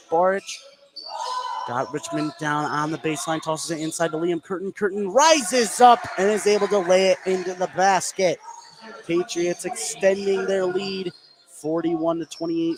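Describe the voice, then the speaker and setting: A man doing live play-by-play radio commentary of a basketball game, lifting his voice on big plays. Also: poor audio. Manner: voice rises into shouts on big plays. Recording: clear gym noise behind the voice. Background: indoor high school gymnasium ambience.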